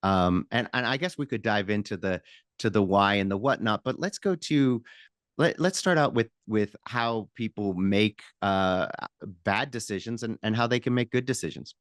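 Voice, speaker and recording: A man hosting a business podcast, asking his guest questions in a halting way, with frequent restarts. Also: on the low side, dry recording, clean studio sound. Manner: hesitant, many fillers and restarts when asking questions. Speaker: podcast host. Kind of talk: podcast interview and read sponsor ad.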